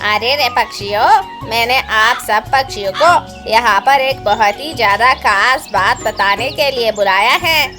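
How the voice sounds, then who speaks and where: A woman as a bright, high-voiced male cartoon bird. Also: a little slow, hardly any pauses, soft background music. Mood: announcing. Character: male cartoon bird, exclamatory, addressing the flock. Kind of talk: Hindi children's cartoon dubbing.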